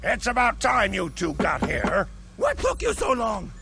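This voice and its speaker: A man voicing a gruff, impatient old sage with a gravelly, grumbling delivery. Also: sits high, wildly swooping pitch, measured pace, music in the background, heavily acted.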